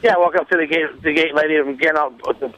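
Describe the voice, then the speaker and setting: Man, slightly high voice, radio guest, rambling casual storyteller, quotes dialogue, dry sound. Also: steady tone, fast, a bit bright, no echo, low-quality recording. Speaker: show guest telling a story. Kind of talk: casual comedic anecdote on talk radio.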